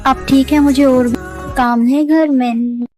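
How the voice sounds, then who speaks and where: A woman's voice: sits high, steady tone, a little slow, dark tone. A young woman playing a defensive, exasperated girlfriend in a dramatized breakup talk. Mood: irritated, cutting. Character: defensive, irritated young girlfriend insisting on her love. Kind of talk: dramatized breakup phone conversation in Hindi. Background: background music.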